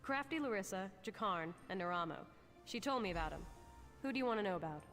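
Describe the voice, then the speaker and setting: A woman's voice: mid-range voice, animated, fast, fairly bright tone, soft background music, natural voice. A woman voicing an eager, businesslike young heist crew member in a video game. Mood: brisk. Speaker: game character.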